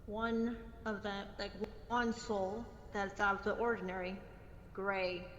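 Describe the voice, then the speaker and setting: A woman improvising in-character role-play, speaking haltingly and pausing mid-sentence. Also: mid-range voice, fairly even intonation, dull audio. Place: voice chat in virtual reality game. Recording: echoey, long reverb, faint background noise.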